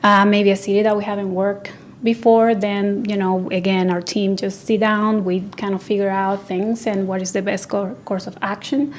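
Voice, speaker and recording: A woman's voice: mid-range voice, level tone, normal speed, hardly any pauses, a little hiss or hum. A woman from Venezuela who owns an interior design studio, answering interview questions in a radio studio, with frequent fillers and restarts. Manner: hesitant, many fillers and restarts.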